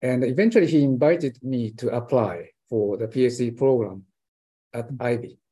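A man's voice: mid-range voice, normal speed, mellow, breathy edge. An older man with a Japanese accent, a professor answering interview questions hesitantly, with many fillers and restarts. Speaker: guest professor.

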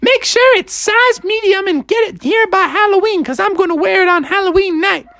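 A woman voicing a feisty, bossy mother frog in an excitable, sassy cartoon style for a story. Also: extremely high pitch, normal speed, no echo, pitched above the performer's own voice. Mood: chirpy, sassy.

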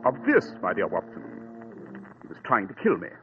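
An actor playing a brisk, cool-headed male detective in a crisp, clipped, matter-of-fact manner, in 1940s radio acting. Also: fairly low pitch, wildly swooping pitch. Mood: calm. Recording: tinny lo-fi old radio broadcast with faint hiss.

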